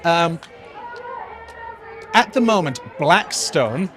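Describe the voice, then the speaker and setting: Higher-voiced man arguing earnestly, outdoors. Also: lively intonation, extremely slow, a bit bright. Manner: earnest, explanatory. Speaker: protest interviewee. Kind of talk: casual political argument. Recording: outdoor street with crowd noise.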